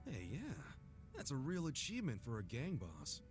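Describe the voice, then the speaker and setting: A man playing an earnest, soft-hearted street brawler in a video game cutscene, speaking frankly and informally. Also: medium pitch, huge pitch swings, normal speed. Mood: friendly. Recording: music under the dialogue.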